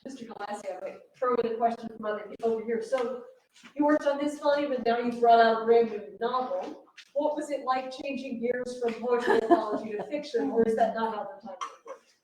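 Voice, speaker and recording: A woman's voice: a little high, brisk, a little mellow. A woman politely putting a question to a speaker at a live literary event. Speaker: event moderator relaying questions. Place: reverberant library room, live event. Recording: very echoey room, distant mic.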